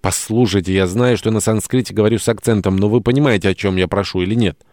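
A man's voice: fairly low pitch, fairly even intonation, quick pace, a little mellow, no echo, lightly acted. A man voicing a wry, impatient male trickster hero in an audiobook, sardonic and commanding. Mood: impatient, bossy.

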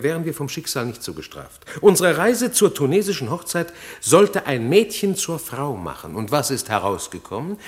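A man's voice: mid-range voice, natural voice. An actor playing a cynical, blunt nobleman with a sardonic manner in a German radio play.

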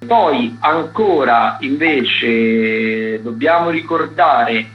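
A man's voice: medium pitch, animated, drawn-out words. A man hosting a radio arts rundown, loosely improvised, hesitant and wordy, often correcting himself as he goes. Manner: informal, hesitant, self-correcting, wordy with hedging asides. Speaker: radio host presenting arts listings. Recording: dull lo-fi radio sound, music behind. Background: music.

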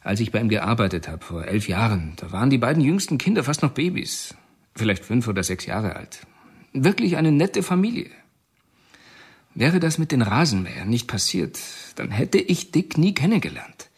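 A husky voiced prisoner confides in a radio play, his voice rising and falling with lively swings. He sounds uneasy and confessional, as if owning up to something he regrets. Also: mid-range voice, normal speed. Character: Floyd Wells, male prisoner and informant. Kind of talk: radio drama acting.

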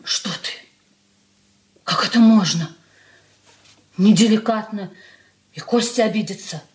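A woman's voice: mid-range voice, unhurried, darkish tone, lightly acted. A woman as a proud, mid-voiced discontented daughter. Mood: uneasy. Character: discontented, proud married daughter, advising and prodding. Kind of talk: Soviet radio play acting.

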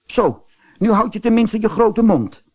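Guy putting on a little wooden puppet boy, super high pitched, cheeky fairy tale kid, bit husky. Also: animated, unhurried, dark tone, heavily acted. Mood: angry. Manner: bratty, exclamatory.

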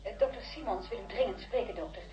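A woman's voice: medium pitch, fast, roomy sound, highs cut off, over music, close to an everyday voice. A woman playing a flustered, deferential assistant in a radio drama, hesitant and formal.

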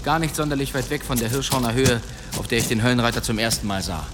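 A man's voice: mid-range voice, fast talker, music in the background, natural voice. An actor playing a boastful medieval demon hunter, telling his tales in a courtly, suspenseful manner in a German radio drama. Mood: dramatic, gripping. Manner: theatrical, suspenseful, courtly delivery.

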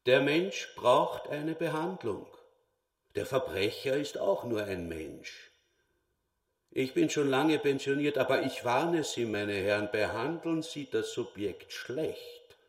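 A man's voice: medium pitch, expressive, slow pace, far from the mic. An actor playing a brutal, self-important retired policeman in a radio play, declaiming pompously. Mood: pompous, grandiose. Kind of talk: German radio play acting. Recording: echoey hall, away from mic.

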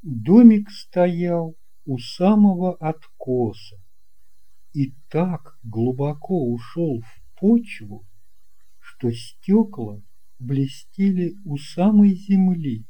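A woman's voice: low-pitched, lively intonation, very slow, mellow, breathy edge, poor audio. A woman reading a children's story as scripted narration.

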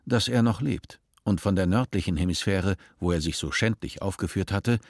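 A man narrating a radio play in a measured, serious tone. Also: low voice, a little mellow, no room sound, clean studio sound. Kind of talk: scripted narration.

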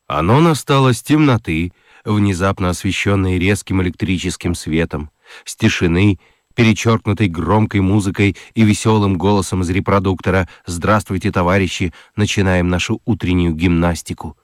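A man giving an expressive, theatrical scripted narration of a children's story. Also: sits low, normal speed, dry recording, clean studio sound.

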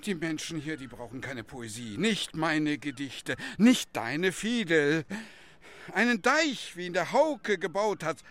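An actor in a German radio play voicing a proud, wistful village schoolmaster who would be a poet, declamatory and scornful of gossip. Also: male voice, on the high side, lively intonation, unhurried, a bit bright. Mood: bitter, scornful. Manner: declamatory, brooding, theatrical.

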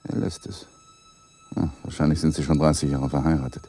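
An actor in a radio play as a young, awkward lawyer, an evasive husband who placates and broods in inner monologue. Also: male voice, a bass voice, huge pitch swings, brisk, warm tone, slightly rough, full character voice. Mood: musing, obsessive.

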